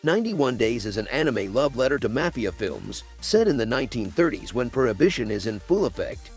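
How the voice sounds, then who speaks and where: A man's voice: medium pitch, very animated, fairly quick, soft background music. A man hosting and narrating a scripted countdown video.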